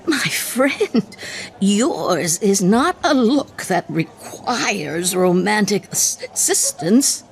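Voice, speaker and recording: An actress playing a witty, boozy woman poet with theatrical bravado in a radio play, in a wry, declamatory, halting tipsy manner. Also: medium pitch, big pitch swings, creaky, a little hiss or hum, full character voice. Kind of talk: radio theatre acting in verse.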